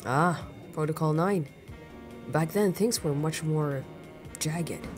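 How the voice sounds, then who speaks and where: A man's voice: fairly high pitch, slow pace. A man playing an easygoing, teasing veteran of a crime syndicate in an audio roleplay, conversational and amused. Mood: reflective. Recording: music playing clearly behind the voice.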